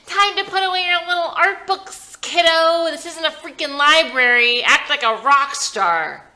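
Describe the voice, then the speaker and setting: A woman acting out a rowdy, drunken male rock singer, crude and goading, with loud taunts and drawn-out shouts in a script reading. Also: sits high, pitched above the performer's own voice, full character voice. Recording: roomy echo.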